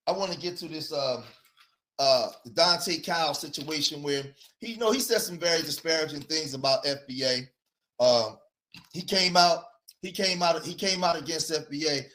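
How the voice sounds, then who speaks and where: High-voiced man making his case. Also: moderate pace, a bit bright. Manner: preachy, addresses viewers directly. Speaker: content creator. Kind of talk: argumentative online talk.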